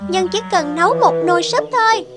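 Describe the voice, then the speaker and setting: A tiny, extremely high, childlike rabbit judge for a fairy-tale cartoon. The character is clever, self-assured and friendly, explaining things reassuringly. Loud music plays underneath. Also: animated, a little fast. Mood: confident, reassuring. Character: clever, confident little rabbit judge, friendly problem solver.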